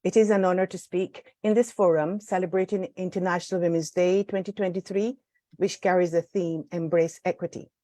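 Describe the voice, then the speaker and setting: A woman from Barbados with a Barbadian accent, giving an earnest, measured prepared talk as a guest speaker, with occasional restarts. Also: lowish voice, steady tone, mellow, no room sound.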